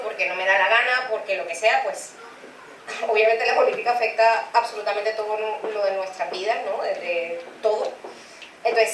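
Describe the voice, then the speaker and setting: A woman politician speaking at a middle pitch, earnest and searching for her words as she lays out an argument. She sounds far from the microphone, in a reverberant space with faint background noise. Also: a bit bright. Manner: argues earnestly, restarting phrases with "eh" and "o sea". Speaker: city councillor and political scientist. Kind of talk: panel conversation. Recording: echoey room, distant mic, faint noise.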